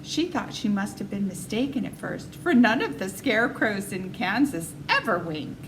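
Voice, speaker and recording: A woman reading a children's story aloud as an expressive narrator. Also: medium pitch, moderate pace, noisy background. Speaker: story reader.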